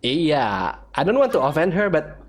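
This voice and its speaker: A man's voice: on the high side, big pitch swings, moderate pace. A man giving casual playthrough commentary with wry, joking reactions. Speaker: gaming video host, player. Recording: commentary over game music.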